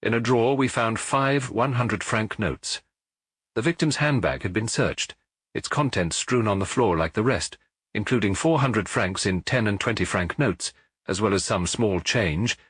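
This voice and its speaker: A man reading as a husky, matter-of-fact police officer. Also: fairly low pitch, a bit bright, no echo. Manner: measured, matter-of-fact reporting. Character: middle-aged male police officer giving a report. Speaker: audiobook reader. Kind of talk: audiobook dialogue reading.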